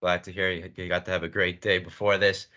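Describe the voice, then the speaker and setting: A man's voice: low-pitched, level tone, a little fast, sharp tone. A man hosting a sports show, asking questions in a conversational interview style.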